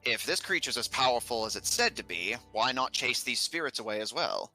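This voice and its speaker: A man voicing a pompous, genial and wordy old-fashioned gentleman in a tabletop game. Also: mid-range voice, big pitch swings, brisk, faint music behind, full character voice, poor audio. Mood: musing, jovial.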